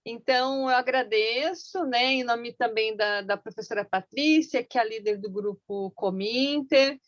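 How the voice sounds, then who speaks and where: A woman, an event organizer closing an online meeting over a video call, speaking politely and deliberately. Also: a little high, no room sound. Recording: dull, dry video-call audio.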